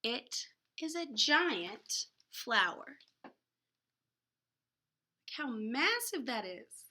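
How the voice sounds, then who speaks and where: A woman's voice: a little high, big pitch swings, very slow, sharp tone. A woman reading a picture book aloud to children expressively, with dramatic emphasis and casual, chatty asides. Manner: dramatic emphasis, gasps and exclamations.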